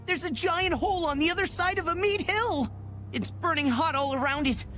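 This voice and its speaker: A woman's voice: very high voice, normal speed, a bit bright, soft background music. A woman voicing an alarmed young messenger in a video game. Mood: panicked. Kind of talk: dramatic game voice acting.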